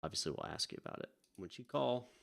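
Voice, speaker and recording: A man's voice: sits low, huge pitch swings, fast, vocal fry, a bit bright. A man working as a virtual air traffic controller on a live stream. He chats casually and drifts off mid-thought, then switches to polite radio calls to pilots. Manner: chatty, easily distracted, wanders off mid-thought.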